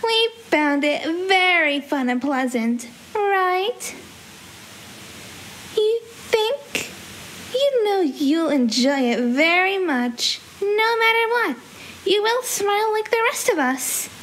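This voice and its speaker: Crazy giggly evil cartoon creature girl, super animated, drawn out slow delivery, bit of hiss. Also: extremely high pitch, exaggerated voice. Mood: sinister coaxing. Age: young adult. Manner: gleeful, sinister, coaxing, giggling. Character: manically cheerful, deranged female cartoon villain creature. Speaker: amateur voice actor. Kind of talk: theatrical character voice lines. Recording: faint hiss, home recording.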